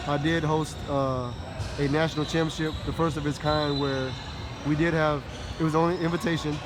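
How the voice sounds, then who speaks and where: A man's voice: a little high, level tone, normal speed. An American man interviewing someone in a casual, agreeable way, often affirming what he hears. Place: at a basketball tournament venue. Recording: noisy gym, bouncing balls.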